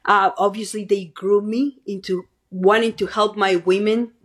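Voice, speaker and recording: Middle-aged Mexican-accented woman, Spanish native, husky; earnest guest who keeps checking in with "right?". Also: medium pitch, steady tone, measured pace. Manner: earnest, tags statements with "right?". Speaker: guest, pro-life speaker. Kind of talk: interview answers.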